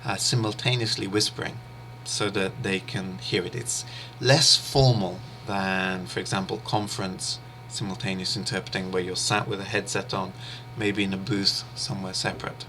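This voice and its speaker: Guy teaching an interpreting class, kinda low voice but bright and crisp, slow steady explaining with lots of ums, faint hum behind. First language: English. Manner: measured, steady explaining with frequent pauses and fillers. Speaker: interpreting instructor. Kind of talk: explanatory online lecture.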